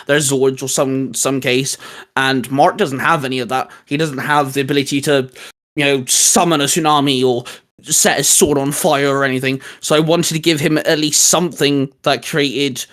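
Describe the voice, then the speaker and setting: A man's voice: medium pitch, steady tone, normal speed, fairly bright tone. A man hosting a casual, geeky discussion, explaining his ideas in a rambling way. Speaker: host.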